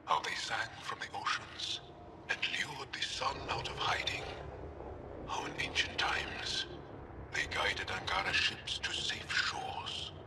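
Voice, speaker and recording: A man's voice: fairly low pitch, huge pitch swings, takes it slowly, full character voice. An actor playing a passionate, protective male alien warrior who speaks earnestly and grows heated, in noisy game audio.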